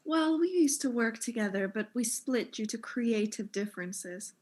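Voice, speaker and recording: A woman's voice: a little high, expressive, normal speed, a little mellow. A woman playing a vain, catty space fashion designer in a mystery drama, self-important and theatrical. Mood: boastful. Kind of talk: acted mystery audio drama.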